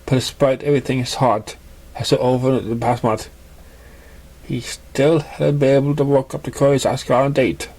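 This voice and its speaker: A man reading a fan fiction story aloud in a halting, stumbling way. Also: medium pitch, level tone, darkish tone, poor audio. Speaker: story reader.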